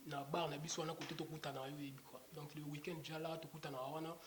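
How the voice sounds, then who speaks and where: A man's voice: on the high side, flat delivery, quick pace. A young Congolese man, a rapper, talking casually in an interview, rambling with lots of fillers and restarts. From Democratic Republic of the Congo. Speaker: rapper guest. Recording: echoey room with clear background noise.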